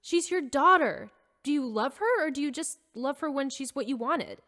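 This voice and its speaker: A woman's voice: high voice, lively intonation, moderate pace, sharp tone. An actress playing a sarcastic, loyal teenage girl who talks in casual slang. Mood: indignant. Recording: long hall reverb with music.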